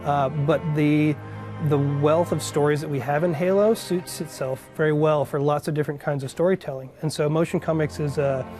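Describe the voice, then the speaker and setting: A man's voice: fairly high pitch, steady tone, moderate pace. A man speaking as a developer in a reflective interview. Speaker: franchise developer. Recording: music playing behind.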